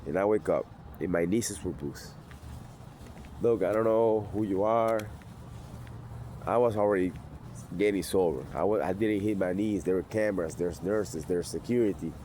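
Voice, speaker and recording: Man, 40s, very low voice, blunt and intense, outdoor city, faint background noise. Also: moderate pace, long pauses, warm tone. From Venezuela. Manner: blunt, intense, street-tough talk. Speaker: sobriety vlogger. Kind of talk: personal storytelling.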